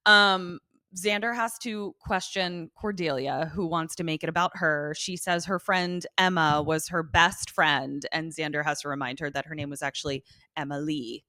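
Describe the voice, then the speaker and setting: A woman with a bright, forceful voice recaps television as a podcast co-host. She talks casually and with plenty of slang and swearing, in a fairly low register, recorded close and dry. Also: steady tone, moderate pace, dry recording. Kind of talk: casual TV episode recap chat.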